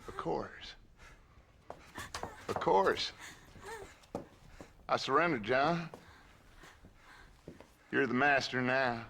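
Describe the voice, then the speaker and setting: Slow, taunting outlaw gang leader. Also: male voice, mid-range voice, gravelly, pushed and bright, a little hiss or hum, full character voice. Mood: weary mockery. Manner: slow, mocking, grandiose. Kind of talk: video game cutscene acting.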